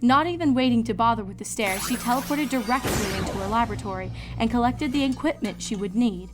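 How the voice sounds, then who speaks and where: A woman narrates a scripted story in a high voice. Music plays softly behind her reading. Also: fast. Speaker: fan fiction reader.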